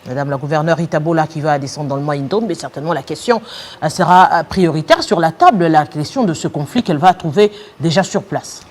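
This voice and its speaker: A woman's voice: deep voice, a little fast, darkish tone. A woman hosting a TV interview, assertive, pressing her guest and insisting on finishing her point. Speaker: TV talk show host.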